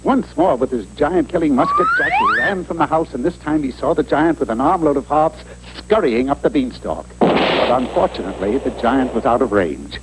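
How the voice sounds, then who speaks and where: A man's voice: mid-range voice, wildly swooping pitch, normal speed. A man playing a wry, urbane storybook narrator, delivering comic scripted narration in a mock-serious manner. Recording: faintly noisy old TV broadcast tape.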